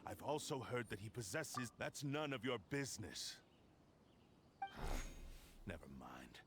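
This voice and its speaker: An actor playing a brusque, dismissive stranger in a video game, curt and impatient in manner. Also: male voice, mid-range voice, big pitch swings, slow. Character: brusque, dismissive male stranger hunting someone. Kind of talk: scripted game dialogue. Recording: clear ambient noise behind.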